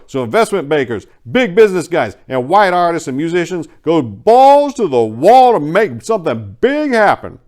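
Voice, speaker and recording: A man giving a dramatic first-person reading of a short story in a sardonic, exasperated, theatrical way. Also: a little high.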